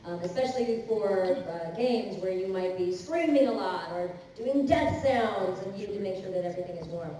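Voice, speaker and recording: A woman voice actor answering fan questions on a convention panel, chatty and quick to laugh, with many restarts. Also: mid-range voice, lively intonation, mellow, a little hiss or hum. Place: large convention hall. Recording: echoey hall from far off with crowd.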